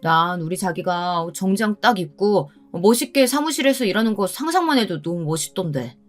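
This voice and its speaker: A woman's voice: medium pitch, expressive, normal speed, soft background music, natural voice. A woman voicing an adult son who protests and defends his girlfriend, in a dramatized story narration. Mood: protesting. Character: adult son, defensive boyfriend, whiny but devoted.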